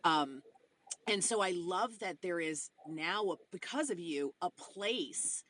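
A woman's voice: lowish voice, animated, slow pace, a bit bright. A woman in a casual conversation, talking enthusiastically and rambling, with many restarts.

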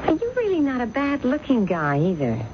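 An actress in a radio drama playing a breezy, flirtatious office colleague with a coy, teasing manner. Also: female voice, sits high, wildly swooping pitch, moderate pace. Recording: dull, noisy vintage radio recording.

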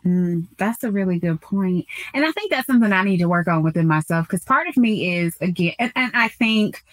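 A woman in her thirties co-hosting a podcast, talking in an animated and chatty way. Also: on the low side, brisk, no echo. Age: 30s. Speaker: podcast co-host. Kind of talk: podcast conversation.

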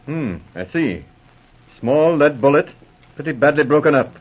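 An actor playing a cautious, diplomatic senior police officer in a 1940s radio drama, measured and authoritative. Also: male voice, on the high side, lively intonation, slightly rough. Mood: businesslike. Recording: muffled old radio recording.

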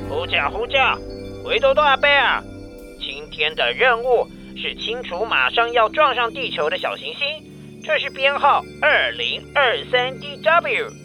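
An actor plays an urgent space control dispatcher in a children's radio play, sharp and bright in tone. The calls are repetitive and swing widely in pitch over faint music. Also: very animated, measured pace. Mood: briefing.

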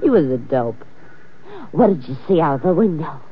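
A woman's voice: low-pitched, sing-song, normal speed, breathy edge, exaggerated voice. A woman playing a tipsy, sassy, wisecracking good-time girl in an old-time radio drama. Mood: tipsy, teasing. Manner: tipsy, teasing banter. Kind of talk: 1940s-50s radio drama acting. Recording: muffled, noisy old-time radio recording.

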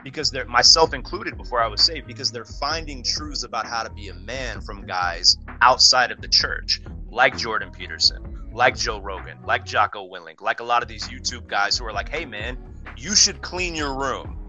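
A man giving his opinions in a casual, slangy podcast conversation, animated and emphatic, often saying "bro". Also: medium pitch, moderate pace, faint music behind. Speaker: podcast guest.

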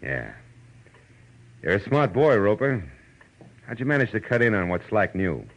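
A man's voice: lowish voice, sing-song, normal speed. An actor playing a wry, unflappable American private eye in a 1940s radio drama, talking in clipped tough-guy slang. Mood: wry, defiant. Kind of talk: 1940s radio detective acting with first-person narration. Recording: dull vintage radio recording with loud music.

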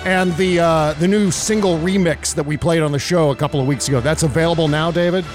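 Male podcast host, slightly high voice, casual upbeat banter with announcer flair, music behind him. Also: fairly even intonation, fast.

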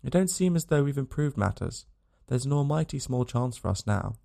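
A man voicing a gaunt, dying frontiersman, parched and weary, speaking in rough frontier vernacular and gently to a child in a storybook reading. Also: medium pitch, animated, a little fast. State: parched, dry-lipped.

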